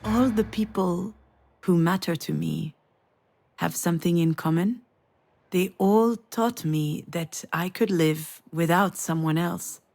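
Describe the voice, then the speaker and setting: A woman's voice: fairly low pitch, slow, a little mellow. An actress playing a wistful, wounded woman parting from her ex, speaking in a reflective, intimate way in a naturalistic game performance. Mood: reflective, solemn. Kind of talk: naturalistic visual novel voice acting.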